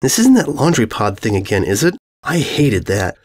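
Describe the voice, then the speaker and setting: A man's voice: medium pitch, sing-song, moderate pace, darkish tone. A man voicing a fussy, earnest pony mare with a scolding manner in a fantasy story. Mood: disapproving.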